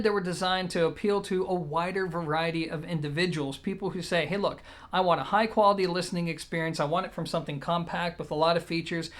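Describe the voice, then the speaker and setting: High-pitched man reviewing audio gear. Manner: conversational, methodical, point by point. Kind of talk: product review, explaining to viewers.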